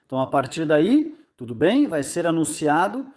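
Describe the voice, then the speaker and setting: A man's voice: on the high side, very animated, moderate pace, a little mellow. A man giving confident, emphatic predictions as a football pundit in a casual debate chat.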